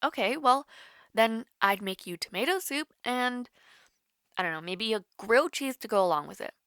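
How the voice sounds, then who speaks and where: A woman's voice: on the high side, expressive, moderate pace, clean tone, no echo, clean studio sound. A young woman playing a shy, caring college student with a crush in an intimate audio roleplay, hesitant and easily flustered. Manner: hesitant, flustered, soft-hearted, giggly. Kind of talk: intimate romantic audio roleplay, one-sided call.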